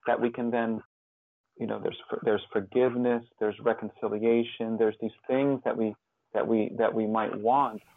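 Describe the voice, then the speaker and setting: A fifty-year-old man speaking as an interview guest, reflective and earnest, often halting and repeating words as he thinks. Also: lowish voice, little pitch movement, no room sound, phone-quality sound.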